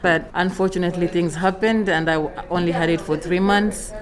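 A woman's voice: lowish voice, fairly even intonation, a little fast, a crowd in the background. A woman from Kenya, a culinary student, chatting eagerly and laughing now and then. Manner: warm, eager chat with laughs.

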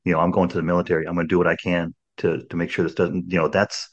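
A man's voice: sits low, flat delivery, very fast, dull audio. A man in his sixties hosting a podcast in casual conversation, rambling with many restarts and "you know"s. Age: 60s. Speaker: podcast host.

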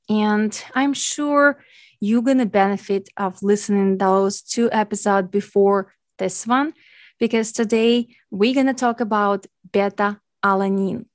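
A woman with a clear Ukrainian accent talks through her podcast in English, her second language. She sounds earnest and thankful, and her phrasing is plainly non-native. The recording is dry and close, with almost no room sound. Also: mid-range voice, no room sound. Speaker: podcast host, nutrition coach. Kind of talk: solo podcast monologue.

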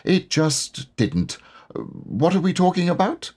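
A man voicing a dreamy, absent-minded bear in a storybook, speaking in a hesitant, vague, drawn-out way. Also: sits high, sing-song, a little mellow, voice pushed up, full character voice. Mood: dreamy, puzzled.

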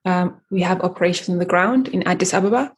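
A woman from Eritrea, a coffee company founder answering interview questions in a measured way, with many fillers and restarts. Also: on the low side, breathy edge.

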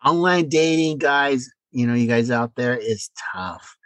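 A middle-aged man co-hosting a podcast in casual conversation, blunt and joking in an animated way. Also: medium pitch, a little slow, no room sound. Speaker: podcast co-host.

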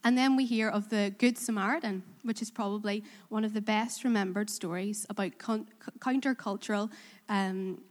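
A woman's voice: mid-range voice, steady tone, normal speed. Woman preaching in a reverberant hall. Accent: Korean. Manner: earnest, self-deprecating, asks the congregation questions. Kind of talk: sermon. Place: church in Belfast.

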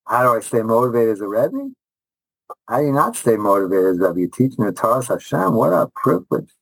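A man's voice: mid-range voice, sing-song, fairly quick, creaky, dry recording, rough recording. A middle-aged man, a rabbi and teacher answering interview questions conversationally, enthusiastic and emphatic, repeating phrases and often restarting.

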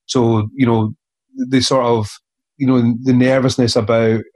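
A man, an author being interviewed, speaking earnestly and haltingly with many restarts. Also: mid-range voice, level tone, a little mellow. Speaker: author guest. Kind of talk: reflective interview conversation.

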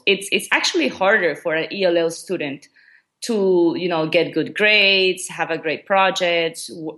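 A woman's voice: on the low side, a bit bright. A woman from Ecuador whose first language is Spanish, answering interview questions in English with frequent ums and restarts. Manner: hesitant, many ums and restarts. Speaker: guest, education coordinator.

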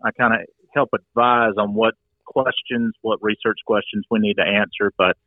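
A man in his forties from Georgia, a wildlife biologist, answering interview questions in a measured, matter-of-fact way. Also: lowish voice, fairly even intonation, no room sound. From Georgia, USA. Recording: tinny phone line.

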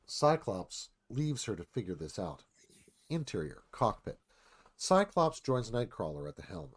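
A man's voice: fairly low pitch, sing-song, a little slow, breathy edge. A man reading stage directions as scripted narration for a live radio play.

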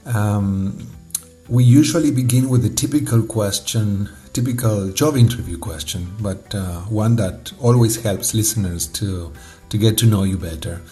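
A man hosting an interview, speaking in a measured, polite way. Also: lowish voice, mellow. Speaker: interviewer, host. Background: faint music.

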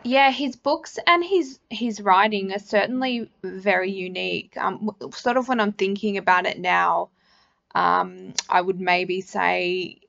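A woman's voice: medium pitch, a little slow, vocal fry, fairly bright tone, no room sound, dull audio. An Australian woman hosting a podcast, asking questions and chatting casually about books in an interview.

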